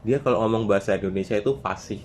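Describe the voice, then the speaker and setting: Young javanese guy on a podcast, slow and hesitant, kinda searching for words, soft hiss behind. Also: lowish voice. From Java. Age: young adult. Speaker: podcast co-host. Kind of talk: casual chat.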